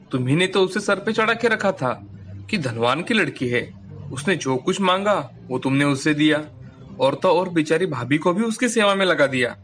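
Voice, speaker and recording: A man acts a grown son in a cartoon family drama. His voice is a little high, with a reproachful, helpless temper. There is faint background music. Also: fairly bright tone.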